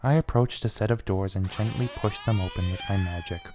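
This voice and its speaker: A man's voice: lowish voice, brisk, music in the background. A man giving a brooding, theatrical first-person reading of a fan fiction story. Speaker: amateur fan fiction reader.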